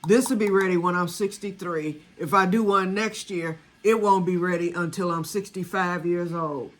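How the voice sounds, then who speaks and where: A woman's voice: on the low side, fairly even intonation, moderate pace. A woman in her sixties, chatting casually to camera about her homemade batch, rambling and talking straight to her viewers. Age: 60s. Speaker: home vanilla maker.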